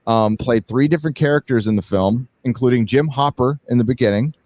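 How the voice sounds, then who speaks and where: A man's voice: mid-range voice, brisk, a little mellow, no room sound. A man hosting a casual online chat show, talking in a loose, rambling way with plenty of fillers and swearing. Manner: profane, rambling with fillers and restarts. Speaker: podcast host.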